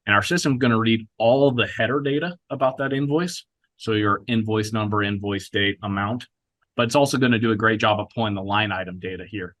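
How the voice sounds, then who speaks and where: A man with a fairly low voice presents software in a conversational, businesslike manner. The sound is dry and close, as he talks through a live product demo. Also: no echo.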